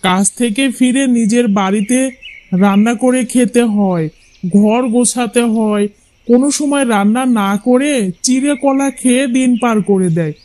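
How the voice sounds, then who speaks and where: A man's voice: extremely high pitch, normal speed, darkish tone. A man voicing a chatty, opinionated husband in a cartoon folk tale, with a coaxing manner. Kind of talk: Bengali cartoon fairy-tale voice acting.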